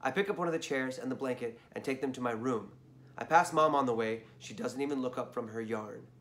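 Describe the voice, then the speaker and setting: A hoarse man reading a children's story aloud, chatting in asides to his listeners. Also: medium pitch, fairly quick, a bit bright.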